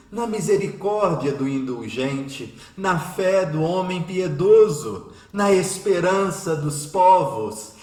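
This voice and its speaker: An actor playing an impassioned, devout man praying aloud to God in a radio drama, solemn, declamatory and poetic. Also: a little high. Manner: solemn, declamatory, poetic, with repeated rising invocations. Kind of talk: radio drama prayer oration. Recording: roomy echo with music underneath.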